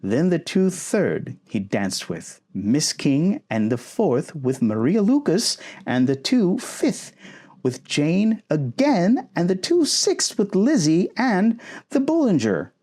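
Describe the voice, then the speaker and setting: Guy putting on a gossipy middle-aged mom from an old novel, super dramatic, pitch jumping all over. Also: big pitch swings, slow pace, warm tone, exaggerated voice. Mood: gushing, excited. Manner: effusive, dramatic, swooping. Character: excitable, gushing, gossipy middle-aged mother. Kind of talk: storybook character acting.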